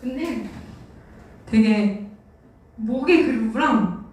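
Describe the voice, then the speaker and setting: Young female youth praise leader with a sore throat, unhurried and informal, recorded distantly in a very reverberant church. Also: a little high, expressive, darkish tone. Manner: informal, playful, self-deprecating. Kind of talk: casual talk to teenagers between worship songs. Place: church worship room. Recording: very echoey room, distant mic, faint music.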